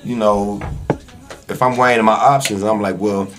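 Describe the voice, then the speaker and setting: Lowish-voiced man in casual interview. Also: fairly even intonation, moderate pace. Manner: conversational, frequent "you know" asides. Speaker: podcast guest, actor and videographer.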